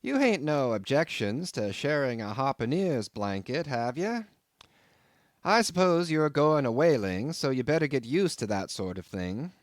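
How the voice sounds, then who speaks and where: Guy doing a folksy innkeeper for an audiobook, higher voice, hearty n teasing, rustic talk, kinda sly. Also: big pitch swings, measured pace, dry recording, studio quality. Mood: wheedling. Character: jovial, sly, folksy old-time innkeeper landlord. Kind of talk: audiobook character voice.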